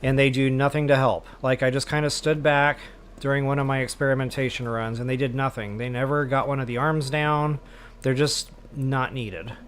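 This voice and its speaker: An American man gives casual gameplay commentary as a walkthrough host. His medium-pitched voice is candid and informal, with faint game noise behind it. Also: steady tone, normal speed, fairly bright tone.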